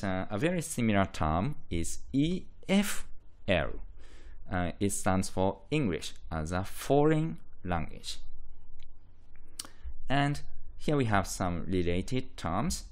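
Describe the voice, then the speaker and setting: A man's voice: lowish voice, clear, resonant tone. A man with a Japanese accent, a native Japanese speaker, giving a lecture that explains terms, hesitantly repeating and restating key phrases. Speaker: university lecturer in linguistics.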